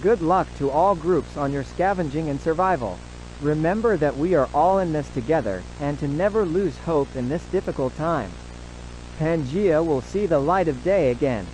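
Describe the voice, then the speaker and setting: A solemn government emergency announcer played by a man, speaking in a slightly high male voice. The delivery is formal and measured, like an official survival bulletin in an audio drama. A faint hum and hiss sit behind the words. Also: lively intonation, a little mellow, lightly acted, rough recording. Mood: solemn, instructive. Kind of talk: scripted emergency broadcast narration.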